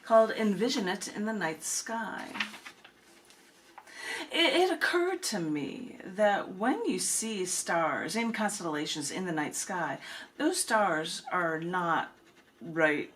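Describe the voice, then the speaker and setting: A woman hosting a poetry reading, speaking expressively and deliberately, with casual asides. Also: lowish voice, lively intonation, fairly bright tone. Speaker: poet and reading host. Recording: clearly noisy room recording.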